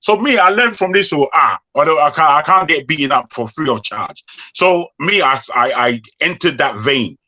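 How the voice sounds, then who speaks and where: Reflective man, bright voice, dull recording. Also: on the high side, expressive. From Nigeria. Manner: reflective, halting, restarts. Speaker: host. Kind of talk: conversational discussion. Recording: dull lo-fi sound.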